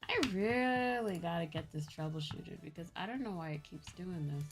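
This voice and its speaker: A woman with a Midwestern American accent telling stories casually as a livestream host, in an animated, chatty manner. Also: lowish voice, normal speed. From Midwestern United States. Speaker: streamer and VTuber host. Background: background music.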